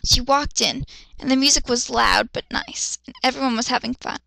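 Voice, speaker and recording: A woman with a high, sharp voice reads fiction dramatically, breaking into exasperated commentary. She is sarcastic and swears freely, with fry in her voice and a close, dry sound. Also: moderate pace, no echo, low-quality recording. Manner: exasperated, sarcastic, foul-mouthed. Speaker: host of dramatic fan fiction readings. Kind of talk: dramatic reading with ranting asides.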